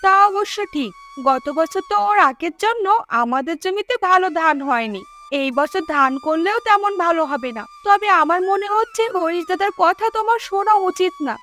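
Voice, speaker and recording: Very high-pitched, wary farmer's wife. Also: female voice, animated, normal speed, fairly bright tone. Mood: cautioning, resigned. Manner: questioning, cautioning. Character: wary, practical village housewife, poor farmer's wife. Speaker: cartoon voice actor. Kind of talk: Bengali cartoon folk-tale acting. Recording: music under the voice.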